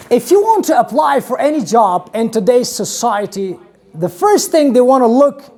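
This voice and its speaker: An Afghan-accented man in his thirties lectures a class in a small classroom, gesturing and throwing questions at his students. His voice is picked up by a camera across the room. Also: very high-pitched. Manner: animated, gestures widely, asks the class questions. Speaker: English teacher.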